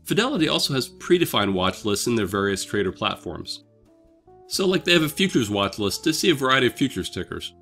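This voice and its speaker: A man's voice: fairly low pitch, a bit bright. A man hosting a dividend investing channel, reading a scripted, conversational explainer while slightly under the weather. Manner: upbeat, conversational, explaining step by step. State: slightly sick, voice a bit off.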